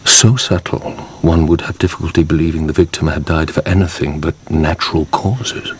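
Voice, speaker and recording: A man playing a suave, mysterious Count with measured, courtly, insinuating speech in a radio drama. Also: rumbling low, fairly even intonation, a little hiss or hum. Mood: courteous, insinuating.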